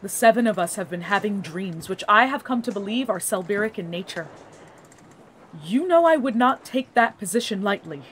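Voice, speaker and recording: An actress playing an earnest young scholar, speaking in formal, precise, methodical phrases for a fantasy audio drama. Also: female voice, medium pitch, animated, moderate pace, a little hiss or hum, lightly acted. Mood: urgent. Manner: formal, precise, bookish wording.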